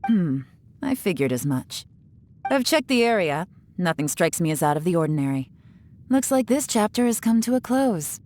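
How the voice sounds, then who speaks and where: Low-voiced, sly female operative. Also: big pitch swings, a little mellow, a little hiss or hum. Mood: cool, assessing. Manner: sly, unhurried, knowing. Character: cool, shrewd, teasing female secret operative. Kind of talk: video game voice acting. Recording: music under the voice.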